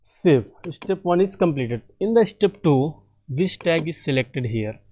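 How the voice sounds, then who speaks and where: A man talks through a screen-recorded software tutorial. His voice is fairly high yet mellow, and he speaks slowly, stopping to repeat and correct himself. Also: lively intonation, breathy edge. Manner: halting, repeats and self-corrects often.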